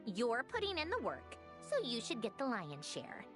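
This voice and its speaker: A woman's voice: fairly high pitch, wildly swooping pitch, moderate pace, over music. A woman playing a dry, long-suffering but generous researcher in a video game, with a wry delivery. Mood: wry, indulgent. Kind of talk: video game character dialogue.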